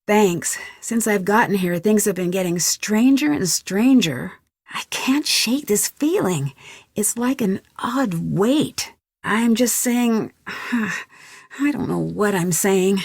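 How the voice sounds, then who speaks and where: A woman's voice: medium pitch, expressive, slow pace, creaky, a little mellow, slightly rough, no room sound, studio quality, full character voice. An actress playing a curious, level-headed young female detective, chatting earnestly and a little wryly in a video game. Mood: uneasy. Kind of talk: conversational game dialogue, one side of phone calls.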